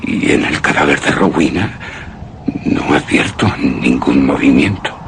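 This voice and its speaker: An actor playing a tormented man keeping a deathwatch, speaking in a halting, haunted, dramatic way in a suspense and horror radio play. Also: a bass voice, animated, noisy background, heavily acted. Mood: terrified, incredulous. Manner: haunted, halting, dramatic, breaking into hushed whispers. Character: tormented male narrator keeping deathwatch over a shrouded woman.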